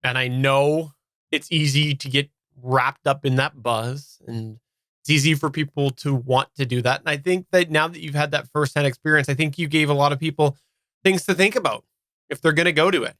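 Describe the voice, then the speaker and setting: A man co-hosting a podcast live chat, talking casually in a measured, polite way. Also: on the high side, a bit bright, no room sound. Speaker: podcast co-host.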